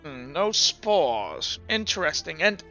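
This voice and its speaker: A man's voice: fairly high pitch, big pitch swings, takes it slowly, creaky, sharp tone, highs cut off, faint music behind, heavily acted. A man voicing a haughty, alarmed plague doctor in a tabletop game, questioning in a clipped, incredulous way. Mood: curious, probing.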